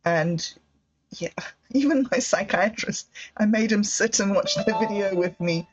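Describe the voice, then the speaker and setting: A woman's voice: medium pitch, measured pace, dull audio. A woman vlogger talking through her thoughts in a reflective, digressive way, given to self-mocking laughter and close to happy tears. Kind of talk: reflective personal vlog monologue.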